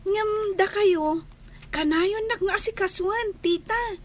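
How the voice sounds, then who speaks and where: An actress voicing a sweet, shy young girl who speaks slowly and timidly. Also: very high voice. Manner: slow, timid, childlike.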